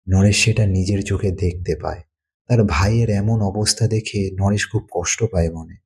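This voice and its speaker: A man with a low voice narrates a suspense story. His phrasing is measured and dramatic, in short weighted pieces, with music faintly behind him. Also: a little mellow.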